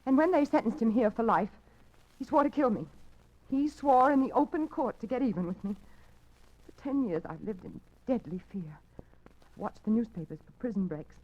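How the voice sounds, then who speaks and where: A woman's voice: on the high side, animated, moderate pace, a little mellow. An actress playing a frightened young woman who speaks anxiously and stammers in a 1940s radio drama, heard through a dull lo-fi broadcast recording. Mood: fearful.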